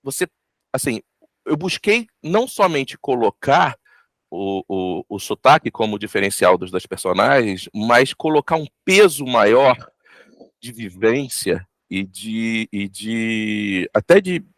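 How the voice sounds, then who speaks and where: A man's voice: on the low side, very animated, slow, fairly bright tone, no echo. A Brazilian man, a voice actor, answering an interview reflectively and searching for his words.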